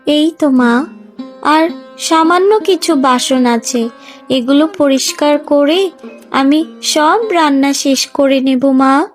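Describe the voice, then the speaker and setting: Woman as a meek, high-voiced little girl. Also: slow, faint music behind. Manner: pleading, meek, plaintive. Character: innocent, obedient, mistreated little village girl. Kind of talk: Bengali cartoon voice acting.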